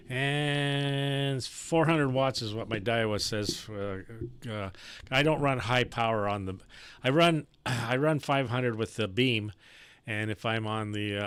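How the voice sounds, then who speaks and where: A man making casual amateur radio conversation as a streaming operator, in a halting manner with throat clearing. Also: on the low side, fairly bright tone, dry recording. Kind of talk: casual ham radio chat. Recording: clear background noise and clicks.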